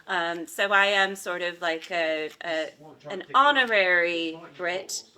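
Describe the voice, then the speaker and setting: Bright-voiced American woman, lowish pitch. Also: slow. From United States. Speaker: student immigration advisor, interview guest. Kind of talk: informal interview.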